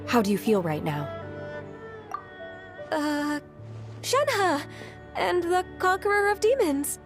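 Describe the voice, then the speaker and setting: A woman playing a polite, earnest young female guide in a video game, speaking in a measured way. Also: high-pitched, big pitch swings, music in the background, exaggerated voice. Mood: surprised.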